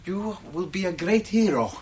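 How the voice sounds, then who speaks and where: A man's voice: high voice, animated, moderate pace, a little mellow, breathy edge, dull audio. An actor playing a proud, dignified Cretan foreman in a radio drama, formal, deferential and resolute. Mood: dignified resignation.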